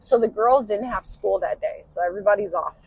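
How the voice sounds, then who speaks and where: A woman's voice comes out husky and breathy, the rough edge of a late night with no sleep. She sits at a middle pitch and tells a personal story the way you would to a friend, wandering off track and dropping "you know" every few breaths. She is talking from the driver's seat of a car on a long night drive. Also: brisk, a little mellow, no room sound, poor audio. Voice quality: husky, low smoky voice. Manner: rambling, with many "you know" fillers. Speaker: podcast host. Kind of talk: casual storytelling. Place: inside a car while driving.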